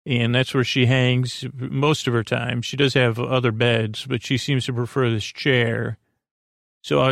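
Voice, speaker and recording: A man with a gravelly, creaky voice, recorded dry and close. He rambles through a casual bedtime monologue as a podcast host. He halts, digresses and restarts mid-thought in a reassuring way. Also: medium pitch, no echo.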